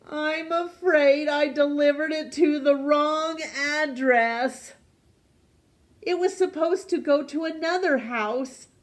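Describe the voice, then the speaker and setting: A woman's voice: sits high, slow, voice pushed up. A woman voicing a timid, apologetic male postman in a read-aloud story. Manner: apologetic and nervous. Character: polite, timid middle-aged male postman.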